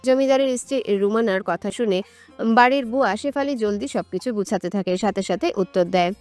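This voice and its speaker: Woman narrating a story over soft music. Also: mid-range voice, normal speed, dry recording. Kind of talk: scripted romantic story narration.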